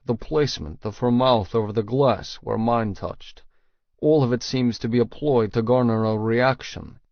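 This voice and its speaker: Male audiobook narrator, low gravelly voice, measured and serious, first person, recorded dry and a touch muffled. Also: no room sound.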